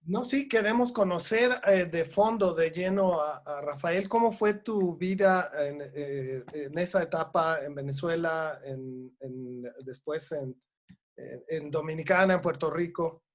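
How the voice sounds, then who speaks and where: A man hosting an interview, asking halting questions with fillers and giving short acknowledgements. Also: fairly high pitch. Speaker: interviewer, show host.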